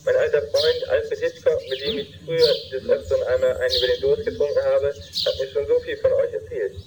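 A man's voice: medium pitch, fairly even intonation, fairly quick, natural voice. A man playing a long-winded, over-familiar lawyer with a stilted, pompous way of talking in an amateur parody radio play. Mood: earnest, long-winded. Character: long-winded, chummy adult male lawyer phoning detectives. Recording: noisy amateur recording.

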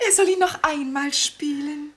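A woman's voice: high voice, a little slow. An actress playing a playful, giddy, teasing woman in a radio play. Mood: tipsy, coaxing. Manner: giggly, teasing. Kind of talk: German radio play acting.

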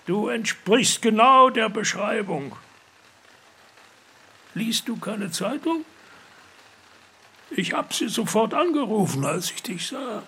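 An actor playing a frail, sly old man in a radio play, gravelly and raspy, slow and bossy. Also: sits high, lively intonation, pushed and bright, heavily acted. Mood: calm, knowing. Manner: slow, deliberate, commanding.

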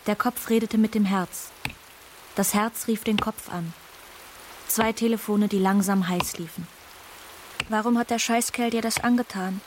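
A wounded but determined eleven-year-old girl acted by a woman mourns her mother in a radio play. She speaks at a medium pitch, in simple, childlike poetic phrases. Rain-like noise is clearly heard behind her. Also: fairly even intonation, unhurried, long pauses, close to an everyday voice. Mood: hurt, resolute. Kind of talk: radio drama monologue. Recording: clearly noisy rain-like background.

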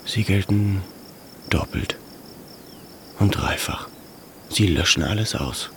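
An actor in a radio drama playing a pensive, gently strict scholarly father in his thirties, with a gravelly voice. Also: low voice, a little hiss or hum, full character voice. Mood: brooding. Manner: slow, halting, introspective.

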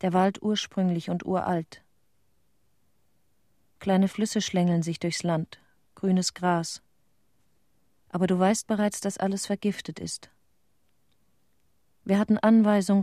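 An actress playing a troubled woman inspector recalling events in a radio play monologue, measured and reflective. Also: mid-range voice, warm tone, no room sound, studio quality, lightly acted. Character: reflective female nature-protection inspector, guilt-troubled witness.

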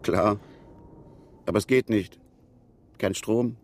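Lowish-voiced motel keeper. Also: male voice, takes it slowly, slight background noise. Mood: matter-of-fact. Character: matter-of-fact motel keeper, helpful, apologetic. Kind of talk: radio drama acting.

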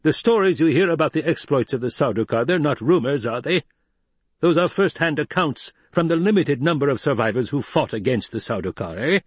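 A shrewd old adviser played by a male narrator presses his points with contempt. He is incisive and snappish, pitched above an ordinary speaking voice, in a dry audiobook recording. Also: expressive, brisk, breathy edge, dry recording. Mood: snappish, scornful. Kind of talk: dramatized audiobook reading.

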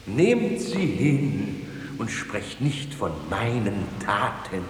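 Ein Schauspieler spielt in einem komischen Hörspiel einen stolzen, polternden Familienvater und Helden aus dem alten Athen, theatralisch und pompös. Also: male voice, lowish voice, big pitch swings, extremely slow, full character voice. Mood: mock modest. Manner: theatrical, pompous. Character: proud, blustering father, vintner hero of ancient Athens. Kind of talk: comic stage-style radio drama dialogue. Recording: hissy 1969 radio play recording.

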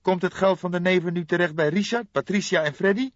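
A man's voice: sits high, fairly quick, pitched above the performer's own voice, close to an everyday voice. A man voicing an eager young male detective with urgent questioning in an audiobook. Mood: curious. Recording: boxy, echoey, dull recording.

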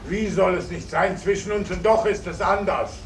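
Casting: stiffly polite, proud soldier, high-pitched, radio-play style, off-mic with faint hiss. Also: male voice, fairly even intonation, moderate pace. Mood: puzzled, hurt. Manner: stiffly polite, speaks in third person. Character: Simon, formal, proud, courteous returning soldier sweetheart. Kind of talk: 1950s German radio drama acting. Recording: roomy, distant 1950s radio recording, faint hiss.

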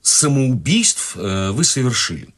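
An actor playing a pompous middle-aged psychiatrist who asks measured, probing questions with little hums. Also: male voice, medium pitch, sing-song. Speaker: radio play actor. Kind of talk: radio play acting.

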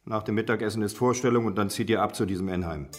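An actor playing a blunt, familiar police colleague in a German radio drama. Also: male voice, on the low side, steady tone, fast, faint music behind, natural voice. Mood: matter-of-fact. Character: matter-of-fact male police colleague, bluntly chummy.

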